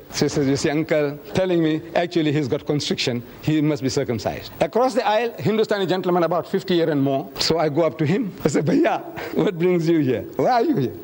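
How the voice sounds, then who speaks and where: Older man, high voice, a talkative community speaker who mimics the people in his stories. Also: a little mellow, slightly rough. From South Africa. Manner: chatty storyteller acting out dialogue, chuckling. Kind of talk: anecdotal talk to a group.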